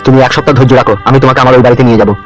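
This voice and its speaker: A man's voice: medium pitch, steady tone, racing, lightly acted. A man playing a brash politician in a comedy cartoon who coaxes his new bride with wheedling, boastful, crude talk. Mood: coaxing. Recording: music behind.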